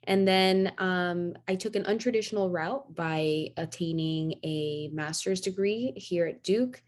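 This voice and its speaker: A young woman from Miami speaking informally as a student panelist on a video call, halting often as she goes. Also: fairly low pitch. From Miami, Florida. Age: young adult. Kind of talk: informal self-introduction.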